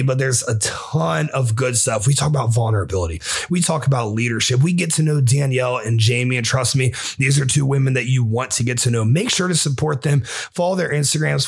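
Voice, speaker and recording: A man's voice: mid-range voice, gravelly, slightly rough. A man in his twenties hosting a podcast in casual chat, hyped and swearing constantly. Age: 20s. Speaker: podcast host.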